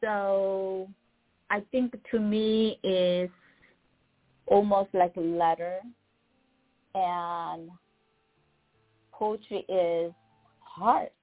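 A middle-aged woman with a Korean accent, a poet speaking as a guest in a casual interview, halting and searching for words. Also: medium pitch, steady tone, faint music behind. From South Korea. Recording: tinny phone line.

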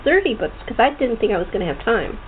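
Female host, higher voice, rambling and halting conversational delivery, thin phone-quality sound with faint noise. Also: very animated. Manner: rambling, halting, restarts sentences often. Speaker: talk show host. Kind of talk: casual chat interview.

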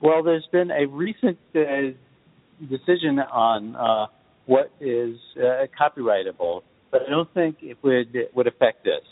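A man answering interview questions as a guest, speaking in a measured, thoughtful way in short deliberate phrases. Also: mid-range voice, animated. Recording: muffled phone-like line with reverb tail.

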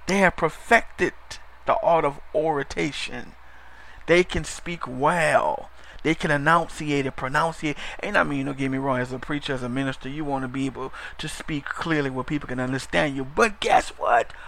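A bright, forceful male preacher with a somewhat high voice. He repeats his points, calls for an amen, and now and then trips over his words or rushes ahead. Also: steady tone, creaky. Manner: emphatic, repeats phrases, call-and-response "Amen?"; stumbles over words, drifts into fast talk. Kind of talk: broadcast sermon.

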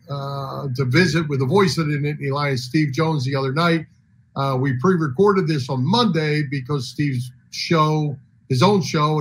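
An American man hosts a sports show in a casual, chatty way. His voice sits a bit higher than average, and there is noticeable noise behind it. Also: fairly bright tone, poor audio. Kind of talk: casual chat.

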